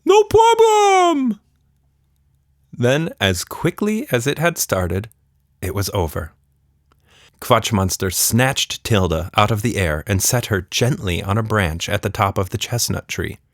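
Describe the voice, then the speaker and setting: A man tells a children's story with very animated, expressive pitch. He takes his time over the scripted lines, and the recording is close and dry. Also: measured pace, dry recording. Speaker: storyteller and podcast host.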